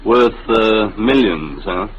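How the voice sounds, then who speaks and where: An actor playing a flustered, stubborn small businessman and husband in an old radio drama, stammering and gruff. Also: fairly low pitch, animated, slow, dull audio. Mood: hesitant, affable. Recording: noisy old radio recording.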